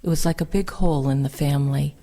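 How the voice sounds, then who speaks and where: Female narrator, soft dark tone, slightly low, reading oral history. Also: fast. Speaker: reader of veterans' recollections.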